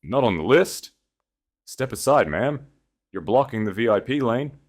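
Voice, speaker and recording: A man narrating an audiobook, voicing a gruff male bouncer, officious and quick to look down on people or defer to rank. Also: medium pitch, lively intonation, pitched above the performer's own voice. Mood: dismissive. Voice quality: gruff, grunting. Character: burly, dismissive, patronizing male nightclub bouncer turning servile.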